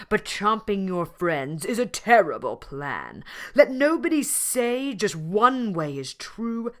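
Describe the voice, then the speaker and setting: A woman voicing a gentle, thoughtful male lion poet in a storybook, speaking in an earnest, measured and emphatic way. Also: low voice, full character voice.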